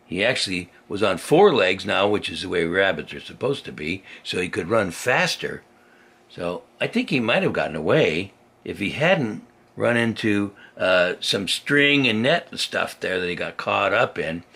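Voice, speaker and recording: Older guy reading a kids story, husky and low, really animated, explains stuff as he goes. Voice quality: husky, gravelly. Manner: simple explanations, playful, expressive. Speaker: grandfather reading a children's story. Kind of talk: storytelling to a young child with chatty asides.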